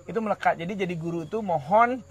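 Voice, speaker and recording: A middle-aged man speaks as an interviewer. His voice sits quite high for a man and he moves along quickly. He is encouraging and exhortative, slipping English phrases into a casual conversation. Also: breathy edge. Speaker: interviewer, language centre host.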